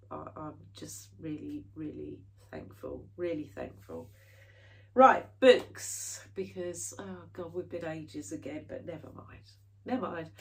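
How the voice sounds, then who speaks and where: A woman's voice: lowish voice, gravelly, far from the mic. A British woman chatting casually to her viewers in a rambling way, full of fillers and restarts, her nose a bit stuffy from hay fever. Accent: British English. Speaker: cross-stitch vlogger. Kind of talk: casual chat to camera.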